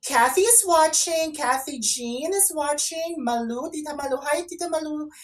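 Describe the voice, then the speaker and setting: A woman's voice: high voice, normal speed. A woman co-hosting a casual online talk show, explaining things and giving advice. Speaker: co-host and makeup artist.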